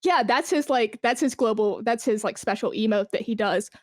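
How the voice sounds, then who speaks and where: A woman's voice: on the high side, moderate pace, no echo, studio quality. A woman chatting casually as an interview guest, enthusiastic and informal, with some swearing. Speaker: podcast guest, esports brand manager.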